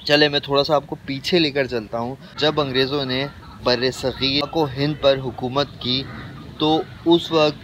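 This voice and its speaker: Halting Pakistani man over noisy background. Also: steady tone, fairly bright tone. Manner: halting, pausing often mid-sentence. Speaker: video host. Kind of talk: informal explainer talk to viewers. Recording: clearly noisy, vehicle-like background rumble.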